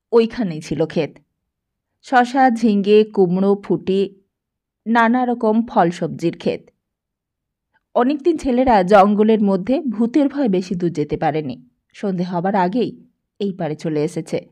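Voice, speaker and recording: A woman's voice: medium pitch, lively intonation, measured pace, long pauses, darkish tone. A woman, reading a scripted story aloud as an audiobook narrator.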